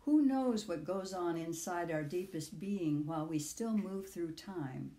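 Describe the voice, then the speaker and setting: An older woman in her sixties or beyond reads her own reflective personal essay aloud in a measured, literary way, with deliberate phrasing. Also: lowish voice, warm tone, echoey room. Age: 60s or older. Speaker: author reading own audio essay.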